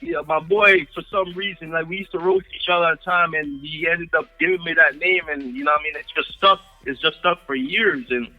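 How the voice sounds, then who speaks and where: A young man with a Jamaican accent, a guest artist chatting casually in an interview, relaxed, and often saying "you know what I mean". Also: on the high side, brisk, few pauses, phone-quality sound, faint music behind.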